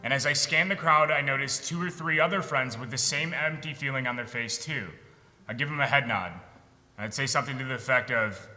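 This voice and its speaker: A man's voice: medium pitch, fairly quick, sharp tone. A young American man, a veteran, reading a frank, sardonic personal monologue on a theatre stage. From United States, Michigan. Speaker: military veteran performer. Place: theatre stage in an arts center. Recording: reverberant hall with music behind.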